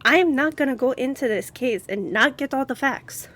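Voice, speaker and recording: A woman playing a composed, confident young lawyer and boss in an audio roleplay, with a measured, earnest delivery. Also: high-pitched, animated, a bit bright.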